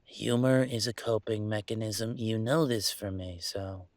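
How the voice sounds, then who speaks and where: Gravelly, wry young werewolf played by a man. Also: on the low side, a little mellow, no room sound. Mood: subdued, rueful. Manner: halting, sardonic, rambling confiding. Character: troubled young male werewolf, wry, self-deprecating, hurting. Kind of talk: intimate audio roleplay monologue.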